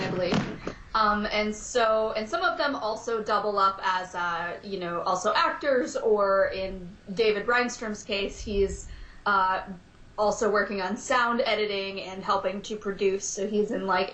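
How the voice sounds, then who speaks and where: A woman's voice: medium pitch, a little slow, a bit bright. Woman explaining steadily in an echoey room. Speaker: podcast guest from an audio drama. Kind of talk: casual interview talk.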